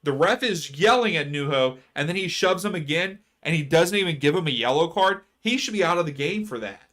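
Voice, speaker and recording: A man hosting a live soccer watchalong stream, chatting casually with viewers and ranting about fouls. His voice is going hoarse. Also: a little high, lively intonation, a little fast, a bit bright. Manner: chatty, reads chat aloud, rants about fouls. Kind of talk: casual live match commentary.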